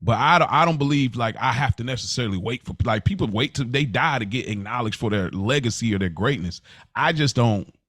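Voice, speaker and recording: Male podcast voice, mid pitch, informal and rambling, very dry recording. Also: lively intonation, fairly quick, a bit bright, dry recording. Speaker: podcast co-host. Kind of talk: casual podcast conversation.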